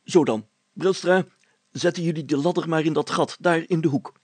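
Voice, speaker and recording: A man voicing a pompous, excitable village mayor in an audiobook story, declaiming in grand, solemn phrases. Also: on the high side, normal speed, slightly rough, no echo, pitched above the performer's own voice. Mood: pompous, eager.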